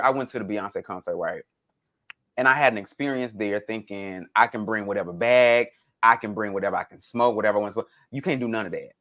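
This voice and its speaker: A man tells stories in a loose, conversational podcast style. His pitch is mid-range and moves around a great deal. He retells moments dramatically, slipping into acted-out quotes. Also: a bit bright. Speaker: podcast co-host. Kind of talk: casual conversational storytelling.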